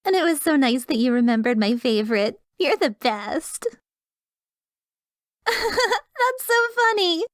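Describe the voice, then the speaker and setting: Woman putting on a gushing, high-lilting flirty date. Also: big pitch swings, slow pace, pauses often, no echo, clean studio sound, heavily acted. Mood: delighted, flirtatious. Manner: sweet, giggly, exaggerated delight. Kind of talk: ASMR roleplay acting.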